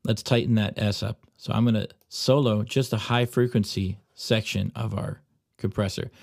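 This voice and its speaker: A man with a slightly low voice narrates a software tutorial. He explains settings step by step as an instructor. The recording is close and dry, with little room sound. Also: fairly even intonation, moderate pace, creaky, no room sound. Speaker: audio plug-in tutorial presenter.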